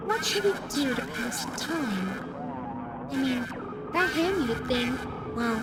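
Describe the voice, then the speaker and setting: A woman voicing a playful, lonely female animatronic fox in a roleplay audio drama, chatty, coy and teasing as she talks to the listener. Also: sits high. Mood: gossipy, lonely. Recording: loud steady background noise.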